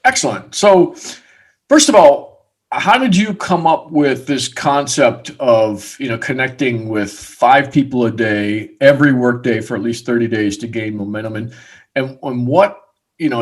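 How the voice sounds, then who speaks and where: A man's voice: medium pitch, expressive, moderate pace, husky. Man hosting a marketing podcast. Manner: rambling, restarts questions. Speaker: podcast host. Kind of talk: casual interview.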